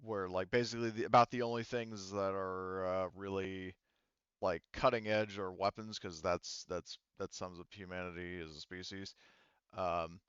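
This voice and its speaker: A man running a tabletop roleplaying game as game master, unscripted and halting as he searches for words. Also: low voice, little pitch movement, fairly bright tone, clean tone, no echo, dull audio.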